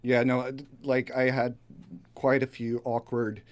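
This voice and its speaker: A laid-back man guesting on a podcast, chatting casually with halting starts and frequent fillers. He talks as someone who lives in Japan. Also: mid-range voice, level tone. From living in Japan. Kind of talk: casual chat.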